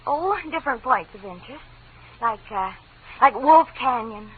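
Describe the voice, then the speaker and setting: A gullible, devoted young secretary from 1940s radio. Her voice is high and very animated, fluttering earnestly through hesitations. The sound is narrow and phone-like, with faint noise. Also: moderate pace, slightly rough. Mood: flustered, wistful. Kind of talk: 1940s radio comedy-drama acting. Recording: thin, noisy old radio recording.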